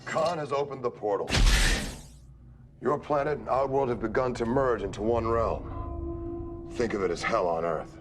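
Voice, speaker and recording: An actor playing a grave thunder god in a film, giving measured, ominous warnings. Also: male voice, medium pitch, lightly acted. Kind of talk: film acting. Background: loud film music.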